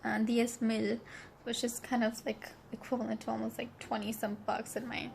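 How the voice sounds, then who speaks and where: A woman's voice: fairly high pitch, level tone, moderate pace, vocal fry, a little mellow, soft background music. A young woman with a stuffy, congested nasal voice, chatting casually in a travel vlog, rambling and giggly with lots of fillers. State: congested from allergies. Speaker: travel vlogger. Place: hotel room.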